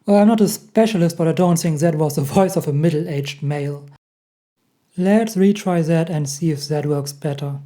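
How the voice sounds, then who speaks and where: A young man with a German accent, hosting a casual software tutorial and chuckling at the results. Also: on the high side, moderate pace. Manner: chatty, laughs often at results. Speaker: tech tutorial host. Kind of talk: casual screen-recorded tutorial.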